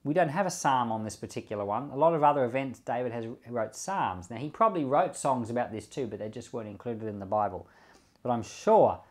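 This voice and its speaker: A man's voice: medium pitch, fairly quick. Pastor, male, mid-range voice, talks scripture through casually and leads a closing prayer. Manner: conversational, repeats words while thinking, light chuckles. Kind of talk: bible commentary and closing prayer.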